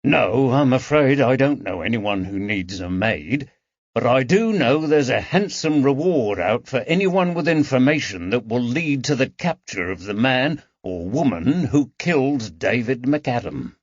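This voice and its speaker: Man reading a kindly constable, soft coaxing questions, animated pitch, dry dull sound. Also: a little slow, no room sound. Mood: gentle. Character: gentle, patient male police constable. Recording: dull, dry audiobook recording.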